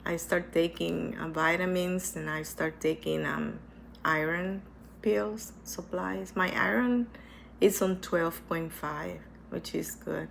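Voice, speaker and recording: Woman, low and gravelly, stuffy with a cold; unhurried, earnest personal vlog, hesitant delivery. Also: steady tone, slight background noise. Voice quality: hesitant, with many restarts and repetitions. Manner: earnest, reflective, speaks slowly. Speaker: vlogger sharing her cancer experience. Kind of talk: casual personal talk to camera.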